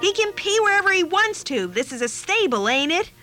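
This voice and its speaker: A woman's voice: fairly high pitch, animated, measured pace, fairly bright tone, faint music behind. A woman voicing a feisty, stubborn young girl in a story, with a theatrical manner. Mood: angry, defiant. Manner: dramatic, swinging from sugary to scrappy.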